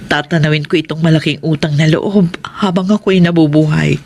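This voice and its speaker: A woman's voice: sits low, steady tone, brisk, a little mellow. A woman with a Filipino accent playing a humble, apologetic middle-aged mother in a radio drama. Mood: grateful, remorseful. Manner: apologetic, meek, hesitant. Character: humble, remorseful mother seeking her children's forgiveness.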